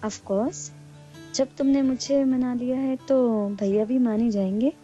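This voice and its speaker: A quick-witted young woman teases her fiancé, played by a woman in a radio play. Her voice is high yet warm and soft in tone. A faint guitar plays in the background. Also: steady tone, dull audio. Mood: playful. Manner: playful, teasing retorts. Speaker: lead actress in a radio play. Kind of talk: amateur radio play acting.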